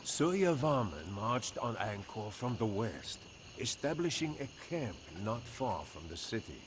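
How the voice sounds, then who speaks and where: An actor playing an honored, solemn general of the Khmer Empire, narrating in a grave, formal, measured way for a strategy game. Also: male voice, lowish voice, big pitch swings, very noisy. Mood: solemn, storytelling. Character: honored old-world Khmer general, solemn, weathered commander. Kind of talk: dramatic scripted game narration.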